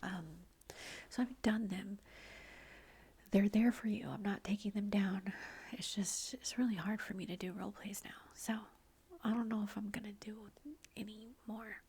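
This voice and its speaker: A woman whispering in an ASMR question-and-answer chat, rambling casually with fillers and soft laughs, close to the mic. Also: mid-range voice, steady tone, moderate pace, slightly rough. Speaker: ASMR channel host.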